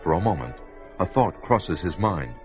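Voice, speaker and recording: A man with a very deep voice narrates in a grave, dramatic 1940s radio manner. Clear music runs under the narration. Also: lively intonation, normal speed, highs cut off. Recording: old radio recording with music.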